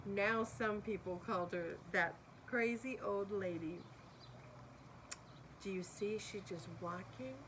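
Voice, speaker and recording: A woman's voice: mid-range voice, a bit bright, slight background noise, poor audio. A woman reading a picture book aloud expressively, with asides and questions to young listeners. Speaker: storybook reader.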